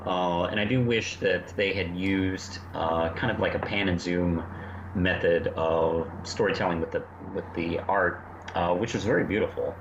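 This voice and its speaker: A middle-aged man co-hosting a podcast, giving casual opinions in a halting, easygoing way. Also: low voice, fairly even intonation. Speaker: podcast co-host. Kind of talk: casual review discussion. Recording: muffled, echoey lo-fi connection, faint noise.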